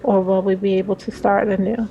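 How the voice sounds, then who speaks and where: A woman's voice: medium pitch, level tone, brisk, dark tone, slight background noise, close to an everyday voice. A woman voicing a lonely, wistful female passenger in a visual novel, musing and hesitant. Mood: pensive. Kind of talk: visual novel read-aloud.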